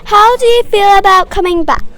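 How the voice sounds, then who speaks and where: Very high-pitched little girl interviewer. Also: normal speed, a bit bright. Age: child. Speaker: young interviewer. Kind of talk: simple question-and-answer interview.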